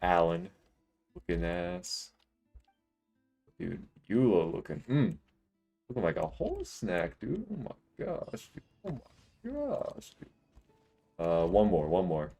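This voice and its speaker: A man in his twenties with a deep voice, chatting casually and jokingly while live-streaming a video game. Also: huge pitch swings, slow pace, long pauses, dark tone, soft background music. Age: 20s. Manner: laid-back, joking, rambling.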